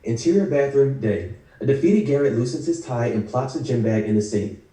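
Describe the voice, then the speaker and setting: A man's voice: medium pitch, expressive, brisk, mellow. A man narrating scripted stage directions for a table read. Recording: echoey room, distant video call mic.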